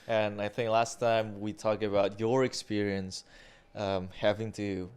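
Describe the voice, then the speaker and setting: Young male co-host, low and clean-toned, easygoing interviewer. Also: level tone, measured pace, darkish tone, clean tone. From Indonesia. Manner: prompting, asks guiding questions. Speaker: podcast co-host. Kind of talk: casual conversational podcast.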